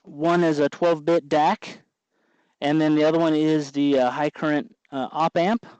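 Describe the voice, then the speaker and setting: A man hosting an informal electronics tutorial, explaining things off the cuff in a halting way as he thinks aloud. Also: fairly high pitch, steady tone, darkish tone, clear, resonant tone, no echo, highs cut off. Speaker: host of an electronics tutorial show. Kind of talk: unscripted hands-on tutorial narration.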